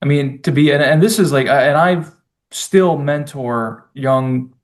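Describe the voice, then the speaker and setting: Forties man, security manager type, talks in halting restarts. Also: on the high side. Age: 40s. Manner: halting, restarts often, repeats words. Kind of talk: casual conversation.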